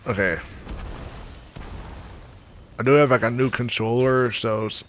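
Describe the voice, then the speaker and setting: A man's voice: medium pitch, steady tone, a bit bright, a little hiss or hum. A man giving casual, hesitant let's play commentary and reading game dialogue aloud. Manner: hesitant, halting. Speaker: gaming video host.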